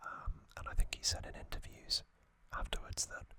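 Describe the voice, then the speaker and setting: A man whispering trivia as an ASMR host into a close microphone, softly, with frequent ums and uhs. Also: normal speed. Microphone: close sensitive mic.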